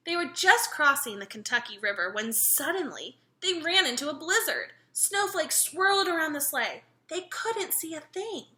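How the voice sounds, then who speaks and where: A woman's voice: high-pitched, expressive, moderate pace, few pauses, bright, forceful tone, roomy sound. A woman reading a children's picture book aloud expressively, engaging her young listeners as she goes. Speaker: read-aloud storyteller.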